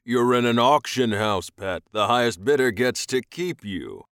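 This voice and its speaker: A smug alien master from an audiobook, acted out by a male narrator. He is commanding and possessive, with a growl in his delivery and a deliberate, amused manner. The sound is very dry. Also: mid-range voice, very animated, a bit bright, smooth, dry recording. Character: smug, domineering alien male slave buyer.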